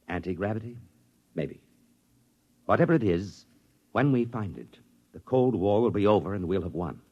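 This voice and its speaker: A deep-voiced astronaut. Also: male voice, slightly rough, lo-fi sound, heavily acted. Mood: confiding. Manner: measured, dramatic storytelling. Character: male astronaut narrator, tense and secretive. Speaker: narrator in the story. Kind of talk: 1950s radio drama narration. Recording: old radio broadcast with music beneath.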